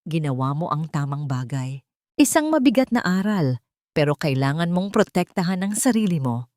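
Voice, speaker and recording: A woman's voice: low voice, very animated, moderate pace, darkish tone, dry recording, clean studio sound. A woman voicing a scheming man who feigns sympathy for his cousin in a narrated drama. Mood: fake sympathy. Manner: falsely sympathetic, persuasive.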